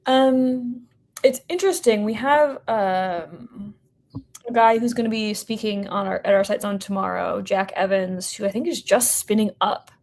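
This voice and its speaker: A woman's voice: medium pitch, unhurried, darkish tone. An American woman in a casual interview conversation, speaking informally and hedging with lots of "like" and "sort of". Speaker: podcast guest, company representative.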